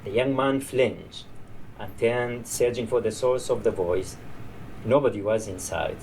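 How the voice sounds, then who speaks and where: A male novelist with a Kurdish accent speaks to a live bar gathering. His delivery is very slow, halting and deliberate, and there is faint noise behind him. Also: mid-range voice, vocal fry, darkish tone, rough recording. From Iraqi Kurdistan. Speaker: novelist reading own work. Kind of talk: live introduction and literary reading.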